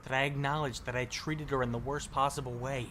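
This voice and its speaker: A man's voice: mid-range voice, steady tone, a little fast, natural voice. Man acting a bitter, weary apocalypse survivor, faint noise. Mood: bitter regret. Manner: sarcastic, slangy, weary. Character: bitter, regretful male zombie-apocalypse survivor, gruff but caring. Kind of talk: audio drama acting, casual profane dialogue.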